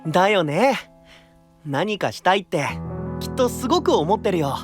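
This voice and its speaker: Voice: mid-range voice, sing-song, normal speed, music in the background, natural voice. An actor voicing a friendly, eager teenage boy knight with casual, openly curious speech in an anime-style audio drama. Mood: eager, determined.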